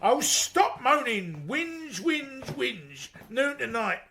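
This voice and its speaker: An actor playing a grumpy, bullying, gluttonous chief inspector in a radio drama, blunt, sarcastic and pompous. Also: male voice, very high-pitched, very animated, slow, vocal fry, sharp tone, a little hiss or hum, heavily acted. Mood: scornful. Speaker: senior police detective. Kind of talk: BBC radio drama acting.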